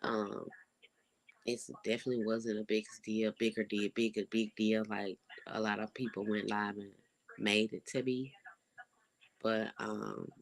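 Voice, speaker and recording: Stuffy-nosed young adult with a gravelly voice. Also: mid-range voice, flat delivery, a little slow, rough recording. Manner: rambling, laid-back talk with many fillers. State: stuffy, congested nose. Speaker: vlogger. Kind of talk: casual vlog update.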